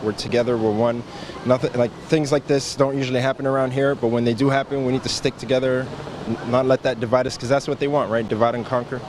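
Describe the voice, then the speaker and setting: A man's voice: mid-range voice, fairly even intonation, fast talker, noisy background. A man from Toronto answering a street interview in a firm, rallying way.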